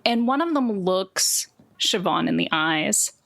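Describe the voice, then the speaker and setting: A woman's voice: mid-range voice, expressive, slow pace, creaky, fairly bright tone. A woman narrating a tabletop roleplaying game as game master, in a colloquial, conversational storytelling way.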